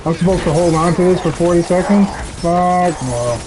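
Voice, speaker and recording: A man's voice: high voice, normal speed, background noise. A man chatting candidly while streaming a video game, rambling through personal stories with laughs and the odd swear. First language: English. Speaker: gaming streamer. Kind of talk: casual chat while gaming. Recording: game sounds behind the voice.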